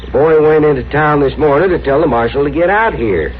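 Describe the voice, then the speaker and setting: An actor playing a gruff, plainspoken prairie rancher in a radio Western, blunt and insistent, with a rural Western American accent. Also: male voice, on the high side, brisk, close to an everyday voice. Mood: gruff, aggrieved. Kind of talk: 1960s radio Western acting. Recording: phone-like, faintly noisy vintage radio recording.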